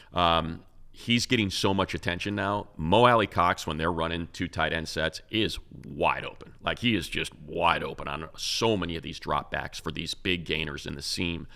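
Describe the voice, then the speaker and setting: A man with a very deep voice full of gravelly fry talks football as a show's co-host. His tone has a bright, forceful edge. He rambles through animated analysis, often restarting his sentences. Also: normal speed. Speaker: radio play-by-play announcer, co-host. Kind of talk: casual sports talk.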